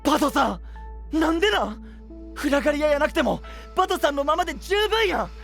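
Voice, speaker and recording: An actor playing a kind-hearted, earnest boyish young knight with a Kyushu dialect in an anime-style audio drama. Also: male voice, extremely high pitch, lively intonation, fast, creaky, a bit bright, faint music behind, full character voice. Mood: pleading. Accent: Kyushu Japanese dialect.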